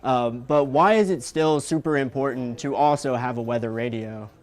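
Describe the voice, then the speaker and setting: A young man hosting a live news interview, asking questions in measured, hesitant phrases. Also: medium pitch. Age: young adult. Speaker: digital news host, interviewer.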